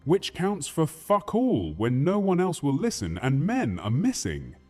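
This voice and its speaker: A man's voice: on the high side, huge pitch swings, unhurried, heavily acted. Guy doing a crude battle-hardened castle soldier, fantasy audio drama, swings all over, bit of music behind. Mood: bitter, angry. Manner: crude, forceful, swearing.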